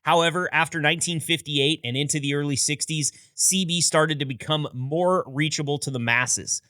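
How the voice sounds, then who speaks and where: Male podcast host, scripted storyteller, crisp forceful tone, slightly high voice, close dry sound. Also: moderate pace, dry recording. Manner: upbeat, storytelling delivery with wry asides. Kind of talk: scripted narration.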